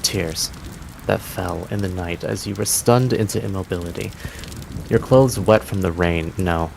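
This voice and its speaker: A man delivering dramatic scripted narration as a game master, solemn and theatrical, with deliberate pauses. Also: deep voice, creaky, background noise. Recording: music bed behind the voice. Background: music.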